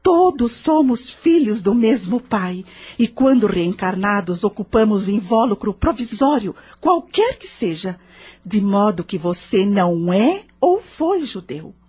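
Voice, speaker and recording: Woman playing patient moralizing spirit guide, Brazilian accent, mid-pitched, slightly husky, measured didactic delivery, audio drama. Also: sing-song, a little mellow. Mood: patient, instructive. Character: patient, moralizing female spirit mentor in the afterlife.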